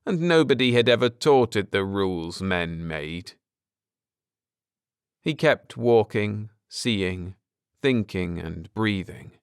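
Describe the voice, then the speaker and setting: Male audiobook narrator, lower register, very clean resonant delivery, measured and dramatic sci-fi storytelling. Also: lively intonation, clean tone, no echo, clean studio sound. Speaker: audiobook narrator and channel host. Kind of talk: scripted dramatic narration of a science fiction story.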